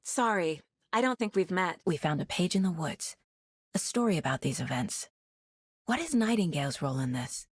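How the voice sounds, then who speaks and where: Husky, serious female federal agent. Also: on the low side, expressive, dry recording, clean studio sound. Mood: businesslike. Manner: serious, measured investigator's delivery. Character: determined, focused female FBI agent working a murder case. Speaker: voice actress in a video game. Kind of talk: scripted video game dialogue and inner monologue.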